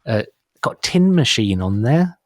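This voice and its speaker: A man's voice: medium pitch, big pitch swings, measured pace, dry recording, studio quality. A middle-aged man hosting a music podcast in casual chat, making wry, dismissive asides as he reads out a track list. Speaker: podcast host.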